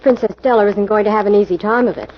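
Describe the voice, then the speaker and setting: A woman's voice: medium pitch, quick pace, lightly acted. Woman acting a forthright vintage radio assistant. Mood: curious, eager. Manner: forthright, eager. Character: loyal, outspoken, curious female sleuth's assistant. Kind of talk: 1940s radio mystery acting. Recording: muffled, noisy old radio recording.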